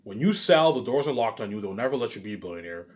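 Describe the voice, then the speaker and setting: A man's voice: fairly low pitch, sing-song, fast. A man giving a casual, unscripted monologue as a vlogger, speaking emphatically and slangily, repeating himself and letting phrases trail off into asides. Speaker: solo online vlogger.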